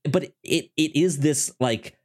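A man co-hosting a casual film discussion, speaking haltingly with frequent stammers and repeated words, sometimes animated. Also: a little high. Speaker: podcast co-host.

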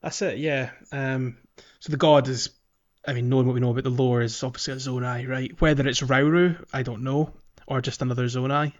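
A man with a mid-pitched voice hosts a gaming podcast in a casual, conversational style. The recording is a little dull and lo-fi. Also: fairly even intonation, a little fast. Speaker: podcast host. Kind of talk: casual game walkthrough chat, some reading aloud.

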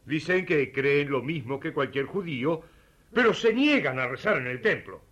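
A man's voice: fairly high pitch, animated, normal speed, a bit bright, lo-fi sound. An Argentine man playing a zealous, hot-headed religious persecutor in radio theatre, emphatic and accusatory. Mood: scornful, conspiratorial.

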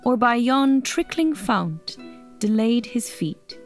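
A woman's voice: fairly high pitch, expressive, takes it slowly, faint music behind. An actress playing a languid, yearning woman poet, reciting verse solemnly in a radio drama. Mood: wistful.

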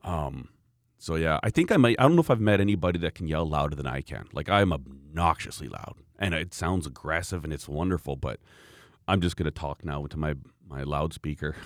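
Deep-voiced man chatting excitedly. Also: no room sound, clean studio sound. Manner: animated, rambling storyteller, frequent laughs. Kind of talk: casual chat.

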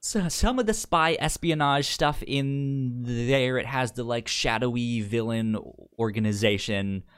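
A man's voice: medium pitch, measured pace, fairly bright tone, smooth, dry recording, studio quality. A man chatting casually as a podcast co-host, often stammering and repeating words and syllables. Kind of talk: casual movie podcast chat.

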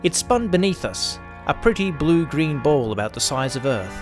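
A man's voice: on the high side, big pitch swings, moderate pace. A man reading a scripted first-person pulp science fiction story as narrator. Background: faint music.

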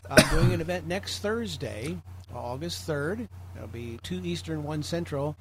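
A man's voice: on the high side, expressive, a little slow. An American man giving an informal, rambling explanatory talk to a group as a presenter in an online meeting. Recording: clearly noisy online meeting audio.

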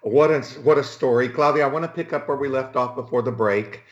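A man's voice: mid-range voice, fairly quick. A man hosting an interview show, enthusiastic and effusive, often tagging his sentences with "right?". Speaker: talk show host. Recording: dull lo-fi audio in an echoey room.

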